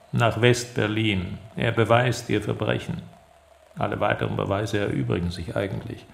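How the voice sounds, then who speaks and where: A man playing a smug, menacing East German secret police interrogator in a radio drama, speaking in a deliberate, officious, coldly threatening way. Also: medium pitch, gravelly. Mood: cold, official.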